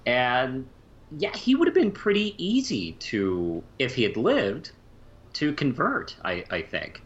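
A man co-hosting a podcast in a casual fan discussion, speaking haltingly and often repeating words as he goes. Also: huge pitch swings. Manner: halting, trailing off mid-phrase and repeating words. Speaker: podcast co-host. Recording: muffled low-fi mic with faint hiss.

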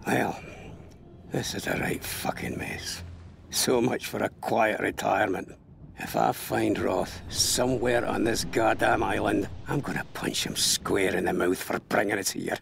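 An actor playing a gruff, bitter, protective older veteran with a gravelly voice in a game monologue. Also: male voice, fairly low pitch, fairly even intonation, unhurried, noisy background. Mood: bitter anger. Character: gruff old veteran, foul-mouthed, protective, grimly bitter. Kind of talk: dramatic game voice acting, journal monologue.

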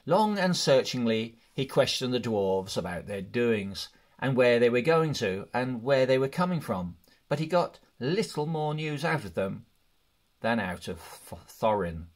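Male storybook narrator, mid-range pitch, slow and deliberate read. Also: big pitch swings. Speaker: audiobook reader.

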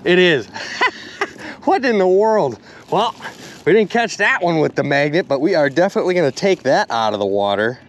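Young guy vlogging by the river, super excitable, voice bouncing all over, action cam outdoors. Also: a little high, moderate pace, slight background noise. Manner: excitable, exclaiming, chatty to viewers. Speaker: magnet fishing vlogger. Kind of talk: casual excited vlog narration. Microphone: body-mounted action camera.

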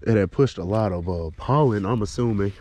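A man's voice: fairly low pitch, brisk, slight background noise. Stuffy-nosed man fishing a creek. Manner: relaxed, explains lure action while casting. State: stuffy nose. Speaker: fishing vlogger. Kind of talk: casual outdoor commentary. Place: wooded creek bank outdoors. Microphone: body-mounted action camera. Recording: faint outdoor ambience.

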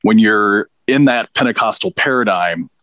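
A man's voice: on the low side, normal speed, fairly bright tone, dry recording. A man from Pakistan, a podcast guest, telling stories in a reflective way with dry humor. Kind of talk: relaxed interview conversation.

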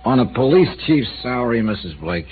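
A man's voice: medium pitch, sing-song, brisk, close to an everyday voice. An actor playing a tough, blunt insurance investigator in a 1950s radio drama, pressing his questions in a dry way. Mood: probing. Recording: tinny old radio broadcast with hiss.

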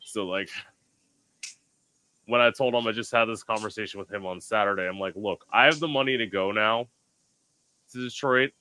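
A man from South Florida hosts a podcast over a video call at home. His voice sits a little low but rings bright and forward. He rambles freely, piling on fillers and quick asides. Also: a little hiss or hum. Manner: rambling, lots of fillers and laughs. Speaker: podcast host. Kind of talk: casual video-call chat. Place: home room with lamps.

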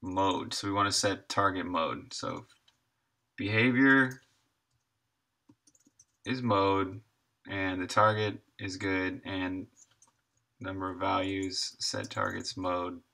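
A man's voice: deep voice, fairly even intonation, slow pace, pauses often, bright, forceful tone. A man in his thirties chatting casually and thinking aloud while he codes on a live stream, sometimes muttering to himself. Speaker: game developer live streaming.

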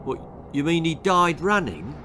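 A man's voice: fairly high pitch, very animated, unhurried, sharp tone, slight background noise, voice pushed up, heavily acted. A man voicing an eager, sceptical young schoolboy runner in a storybook, questioning and indignant. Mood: puzzled.

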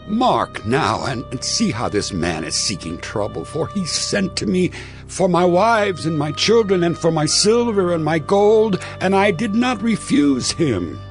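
A man's voice: on the high side, sing-song, a little slow, faint music behind. An actor playing a beleaguered king of Israel in a dramatized audio Bible, with declamatory delivery. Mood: aggrieved.

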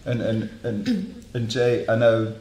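A man's voice: medium pitch, expressive, takes it slowly, dark tone, echoey room, slight background noise. A man, a fashion journalist, hosting a panel discussion with rambling restarts. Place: dark studio around a table.

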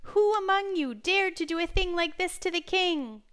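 A woman's voice: sits high, lively intonation, a little slow, smooth, voice pushed up. A woman voicing a proud, hot-tempered king in a children's story, commanding and imperious. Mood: furious.

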